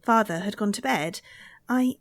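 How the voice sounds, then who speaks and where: A woman's voice: medium pitch, normal speed, dry recording, natural voice. A woman playing an earnest, haunted daughter of a lonely castle in old-time radio suspense style. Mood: anxious, suspenseful. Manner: earnest, dramatic, tense phrasing.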